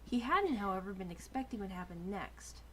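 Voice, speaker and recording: A woman's voice: medium pitch, expressive, fairly quick, fairly bright tone, slight background noise, poor audio. A woman narrating fanfiction in an informal amateur dramatic reading, as one of a podcast's co-hosts. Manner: informal, self-deprecating.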